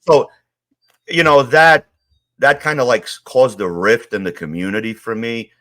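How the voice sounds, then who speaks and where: A man's voice: medium pitch, moderate pace, pushed and bright. A middle-aged man telling stories in a rambling, streetwise way, with many "you know"s, as an interview guest. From Queens, New York. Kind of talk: casual storytelling.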